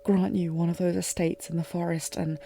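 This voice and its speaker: Woman acting as regal warrior queen, lovesick and fragile, dark soft-edged tone, creaky voice, emotive halting monologue, fantasy audio roleplay. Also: on the low side, flat delivery, a little hiss or hum. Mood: pleading, hushed. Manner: formal, emotive, halting delivery.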